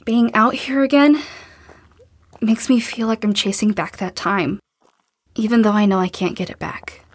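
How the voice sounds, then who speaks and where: A young woman playing a tough, grieving and determined survivor in an audio drama, speaking earnestly and quietly. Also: fairly high pitch, steady tone, moderate pace, long pauses, close to an everyday voice. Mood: wistful. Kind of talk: naturalistic audio drama acting.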